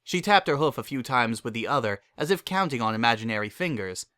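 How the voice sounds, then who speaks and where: A man narrates a scripted story in an expressive dramatic reading. His voice is bright and animated, moving freely in pitch, recorded close and dry. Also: a little fast, dry recording.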